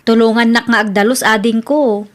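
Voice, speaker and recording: A woman's voice: fairly high pitch, steady tone, moderate pace, natural voice. A woman with an Ilocano accent playing a caring, protective married elder-sister figure in a comedy radio drama. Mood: solicitous.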